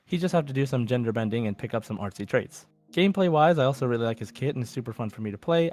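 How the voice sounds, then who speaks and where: A man's voice: medium pitch, darkish tone. A man hosting a podcast in casual chat, reading out listener messages with informal, goofy asides. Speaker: podcast host.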